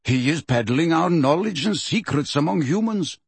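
A man voicing a proud male centaur leader who speaks in a measured, smooth, stern way. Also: a little high, animated, no echo, voice pushed up. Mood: cold.

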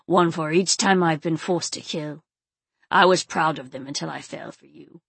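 A woman plays a grim male enforcer in an audiobook. He speaks low and gravely, with restrained anger and a slight growl. The sound is close and dry. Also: fairly bright tone, no room sound, heavily acted. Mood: intense, restrained. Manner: grave, measured. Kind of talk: audiobook character acting.

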